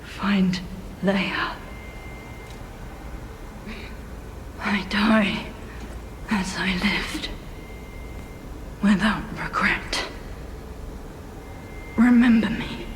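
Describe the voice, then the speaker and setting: A woman playing a proud, tormented warrior whose mind is unraveling, delivered theatrically for a video game. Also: mid-range voice. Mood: weak, resigned. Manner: slow, theatrical, anguished delivery. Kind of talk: dramatic video game acting. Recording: long cavernous reverb, faint background noise.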